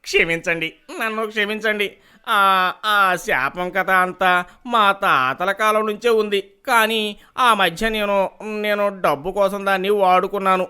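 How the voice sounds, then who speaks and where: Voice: mid-range voice, moderate pace, bright, forceful tone, clean tone, lightly acted. A voice actor playing a greedy, scheming village priest who talks in a blustering, pleading way in a cartoon story. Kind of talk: animated cartoon voice acting.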